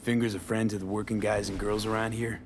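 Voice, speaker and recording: An actor playing a gruff, blunt, streetwise mercenary in a video game. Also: male voice, fairly low pitch, little pitch movement, quick pace, a little hiss or hum, lightly acted. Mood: low-key, probing. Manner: blunt, terse. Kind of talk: video game dialogue acting.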